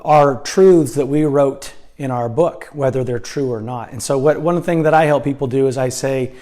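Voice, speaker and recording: A hoarse man speaking as a life coach in a casual video blog. His voice is dark and mellow at an ordinary middle pitch, and he talks earnestly, restarting his sentences and filling pauses with "um". He records indoors, where the room adds a slight echo. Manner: earnest, many fillers and restarts. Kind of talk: casual vlog monologue.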